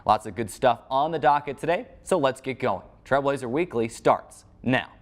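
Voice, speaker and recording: An American man reading scripted sports highlights as the host of a show. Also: expressive, normal speed.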